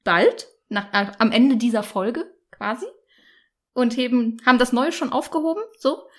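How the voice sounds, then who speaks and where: A woman's voice: on the high side, moderate pace, a bit bright. A woman hosting a book podcast in casual chat, playful and joking. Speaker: podcast host.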